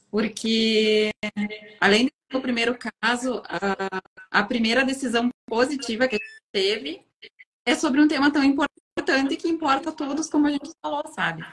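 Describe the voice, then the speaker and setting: A woman joins a live stream as a lawyer guest on a video call. Her voice runs fairly high, and she speaks earnestly, often ending her points with a questioning tag. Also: fairly even intonation, moderate pace, slight background noise, low-quality recording. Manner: earnest, tags questions with "sabe". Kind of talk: casual live-stream talk.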